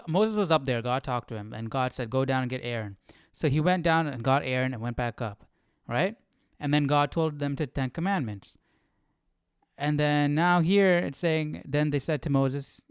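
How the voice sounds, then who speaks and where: Casting: male study-stream host, smooth clear voice, close dry sound, informal and questioning. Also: fairly high pitch, normal speed, no echo. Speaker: Bible study livestream host. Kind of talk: casual thinking aloud, reading scripture.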